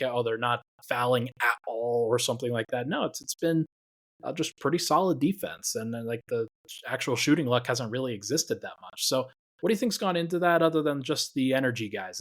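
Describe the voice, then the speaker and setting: A man hosting a basketball podcast, talking in a relaxed way and thinking aloud with lots of fillers. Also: medium pitch. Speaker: podcast host. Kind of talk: casual basketball podcast chat.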